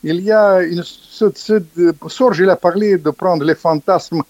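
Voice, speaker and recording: An older man being interviewed as an author, speaking emphatically with many restarts and sharp pitch rises on key points. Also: a little slow, dry recording, dull audio. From Ukrainian background. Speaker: author guest. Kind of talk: interview, political commentary.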